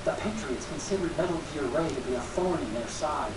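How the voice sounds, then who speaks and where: An actor playing an earnest, bookish scientist who explains things precisely in a video game cutscene. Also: male voice, fairly high pitch, expressive, fairly quick, far from the mic, lightly acted. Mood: earnest explaining. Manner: precise, expository. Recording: noisy roomy game audio, off-mic.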